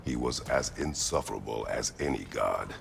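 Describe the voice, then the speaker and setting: An actor playing a grim, stern warrior who speaks tersely and heavily in video game dialogue. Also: male voice, extremely low pitch, creaky, background noise, exaggerated voice. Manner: terse, heavy, measured speech. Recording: game audio with loud music.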